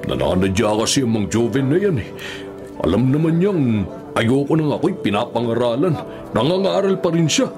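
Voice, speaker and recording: An actor playing a surly, hot-tempered prison thug in a radio drama, menacing and scornful, with a Filipino accent. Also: male voice, mid-range voice, very animated, moderate pace, music in the background. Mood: irritated, scornful.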